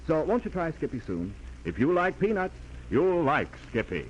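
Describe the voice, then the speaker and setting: A man delivering a scripted 1940s radio advertising pitch on a noisy old recording. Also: sing-song, moderate pace, muffled recording. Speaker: commercial pitchman.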